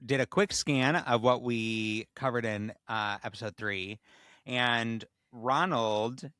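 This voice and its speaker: A young man co-hosting a podcast in casual chat, with a playful, animated storytelling manner. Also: medium pitch, dry recording, studio quality. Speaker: podcast co-host.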